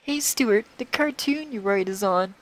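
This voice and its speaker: A man voicing an excitable, alarmed girlfriend, exclaiming shrilly as in a comic-book reading. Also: high-pitched, measured pace, rough recording. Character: alarmed girlfriend of the writer, young woman, excitable.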